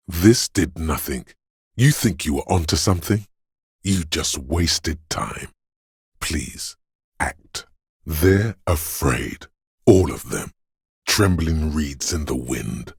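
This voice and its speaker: A gravelly, menacing voice of fear. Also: male voice, expressive, no room sound, clean studio sound, exaggerated voice. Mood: seething rage. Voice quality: gravelly, husky, very deep. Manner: ominous, slow, deliberate delivery. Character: menacing inner voice of primal fear and rage. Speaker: video game voice actor. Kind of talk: dramatic second-person game narration.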